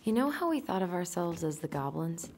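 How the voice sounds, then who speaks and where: An actress playing a thoughtful, gentle young woman, hesitant and naturalistic, in a video game. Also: fairly low pitch, sing-song, a little fast, a little hiss or hum. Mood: wistful. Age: young adult.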